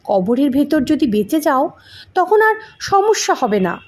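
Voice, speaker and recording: A woman performs a bossy elder grandson in an audio story. He is sly and persuasive, mocking under a helpful air, in a high voice with animated swings. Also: a little slow. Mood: slyly teasing. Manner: scolding, mock-helpful, persuasive.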